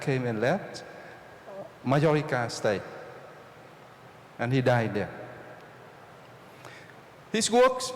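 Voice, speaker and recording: A Jesuit priest from Vietnam with a Vietnamese accent lectures a live audience, using simple, repeated phrases and often checking in with them. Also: male voice, fairly high pitch. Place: oratory hall with a live audience. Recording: roomy hall reverb, faint noise.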